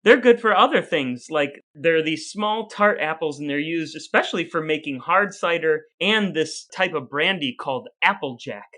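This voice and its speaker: A man's voice: on the high side, animated, normal speed, hardly any pauses, pushed and bright. A man co-hosting a casual podcast chat, playful, quizzing and joking with his co-host.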